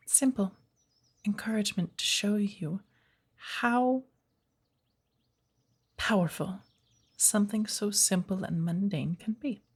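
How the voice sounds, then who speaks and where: A woman playing a patient, playful witch of the woods who gently teaches an apprentice in a soft ASMR roleplay, with a breathy voice. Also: medium pitch. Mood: patient, instructive. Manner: slow, measured, gently instructive.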